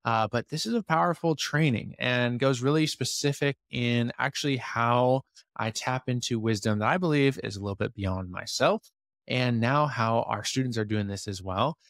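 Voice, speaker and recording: Male podcast host, mid pitch, slightly hoarse, energetic and chatty, dry studio sound. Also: moderate pace, no room sound. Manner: energetic, chatty, many fillers and asides. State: slightly hoarse from losing his voice. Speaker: podcast host and business coach. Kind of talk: casual talk to a community call.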